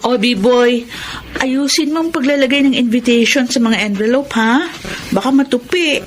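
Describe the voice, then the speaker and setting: A woman playing an affectionate thirty-year-old bride-to-be in a radio drama, soft-hearted and teasing. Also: a little high, normal speed, natural voice. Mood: caring.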